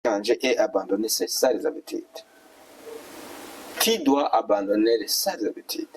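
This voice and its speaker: A man's voice: fairly low pitch, expressive, normal speed, far from the mic, a little hiss or hum, poor audio. A male preacher, delivering an admonishing, repetitive exhortation as a sermon. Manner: admonishing, repetitive rhetorical questions.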